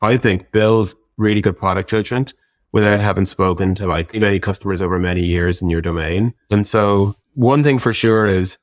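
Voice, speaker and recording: A man's voice: on the low side, moderate pace, no room sound, clean studio sound. A man speaking as an interview guest, explaining things casually and reflectively in an informal conversation. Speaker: interview guest, tech product executive.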